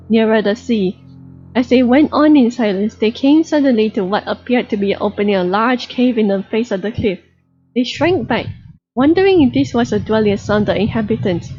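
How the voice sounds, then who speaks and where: Female audiobook narrator, storytelling read, slightly muffled sound with music clearly underneath. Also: on the high side, warm tone. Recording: dull lo-fi recording, music behind. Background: background music.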